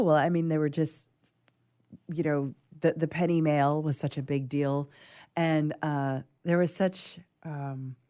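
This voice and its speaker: A woman's voice: very deep voice, darkish tone. A woman speaking casually as a panelist in a discussion.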